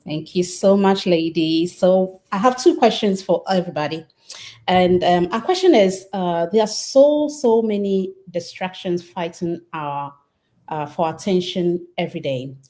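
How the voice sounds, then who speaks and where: A woman from Ghana hosting a live online panel discussion, speaking in a measured way with occasional fillers. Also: lowish voice, dark tone. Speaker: host.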